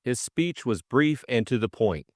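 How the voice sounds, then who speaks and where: A man's voice: medium pitch, big pitch swings, unhurried, no room sound, clean studio sound. A man giving scripted narration of a classic story as an audiobook narrator.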